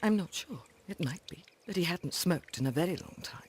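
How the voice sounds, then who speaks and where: A woman's voice: fairly low pitch, lively intonation, a little fast, darkish tone, a little hiss or hum, close to an everyday voice. A woman playing a polite, subdued Victorian wife in a video game, hesitant and plain-spoken. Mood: uncertain.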